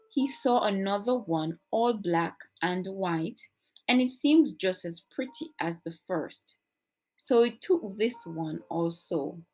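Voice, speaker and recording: Slow-paced woman reading a children's story. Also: mid-range voice, rough recording. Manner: expressive, deliberate storytelling delivery. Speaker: storybook reader, teacher. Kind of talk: children's read-aloud storytelling.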